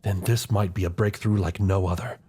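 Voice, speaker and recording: A man playing a loyal, scheming older royal chancellor in a fantasy audio drama, speaking in formal, measured, deferential courtly phrases. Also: deep voice, fairly even intonation, dark tone, husky. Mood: grave. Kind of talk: scripted fantasy audio roleplay acting.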